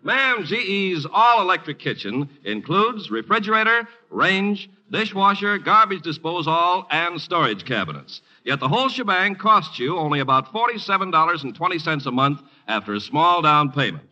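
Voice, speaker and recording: American man, vintage radio ad announcer: sharp, very animated salesman delivery through old broadcast sound. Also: fairly high pitch, moderate pace, few pauses. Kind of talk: 1950s radio commercial read. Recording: dull vintage radio recording.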